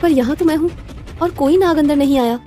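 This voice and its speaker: A woman's voice: high-pitched, brisk, mellow, faint music behind, pitched above the performer's own voice, lightly acted. A woman voicing a young shape-shifting serpent wife who speaks respectfully and pleadingly in a moral story. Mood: innocent.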